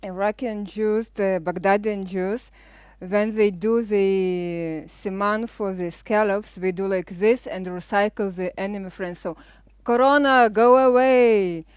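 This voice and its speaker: A middle-aged woman with a Lithuanian accent hosting a live cooking show, chatting casually as she explains each step with frequent pauses. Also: medium pitch, fairly even intonation, a little mellow, clear, resonant tone, dry recording.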